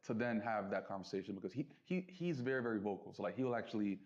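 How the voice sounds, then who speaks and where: A man talking casually and reflectively as an interview guest, earnest and halting, circling back over his phrases. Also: fairly low pitch, animated. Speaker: interview guest, business entrepreneur. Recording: dull lo-fi audio.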